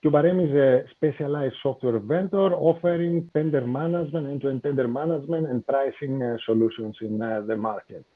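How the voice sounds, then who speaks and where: A man hosting a business podcast, introducing his guest and asking questions with many "uh" pauses. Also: medium pitch. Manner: hesitant, many "uh" fillers. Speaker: podcast host. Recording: tinny phone-like call audio.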